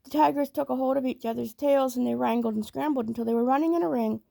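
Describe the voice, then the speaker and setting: A woman reading a children's story aloud in a measured, expressive storytelling manner. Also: sits high, vocal fry, no echo. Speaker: storybook reader.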